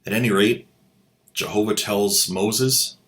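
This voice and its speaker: A man's voice: fairly low pitch, fairly even intonation, slow pace, fairly bright tone. A man hosting a channel, giving sarcastic commentary with wry, mocking asides. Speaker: host.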